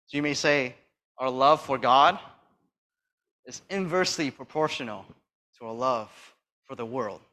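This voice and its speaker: A soft-spoken man giving a sermon and reading Bible verses, hesitant with fillers and restarts, pressing hard on key phrases. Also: medium pitch. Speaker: church speaker.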